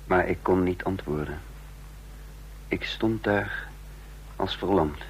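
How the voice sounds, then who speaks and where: An actor playing a stern intelligence chief who also narrates a radio drama, grave, deliberate and authoritative. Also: male voice, low voice, a little hiss or hum. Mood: grave, haunted. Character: commanding intelligence chief, coldly furious, remorseful narrator. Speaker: narrator and lead character. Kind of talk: dramatic radio play acting.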